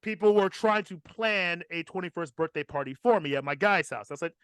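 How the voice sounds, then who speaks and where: A man's voice: sits high, a little fast, fairly bright tone, smooth, no room sound. A man hosting a podcast, telling a personal story in a casual, profane and emphatic comic style.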